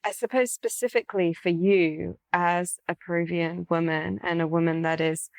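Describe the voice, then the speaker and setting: A woman hosting a podcast, interviewing in a reflective, conversational way with thoughtful, unhurried phrasing. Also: on the low side, steady tone, dry recording, studio quality. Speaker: podcast host.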